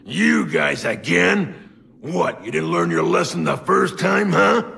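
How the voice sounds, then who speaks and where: A man voicing a boastful animal bully in an English cartoon dub, gravelly, pompous and taunting. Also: on the high side, very animated, slow pace, sharp tone, exaggerated voice. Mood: mocking. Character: boastful bullying animal villain, self-styled king of stink.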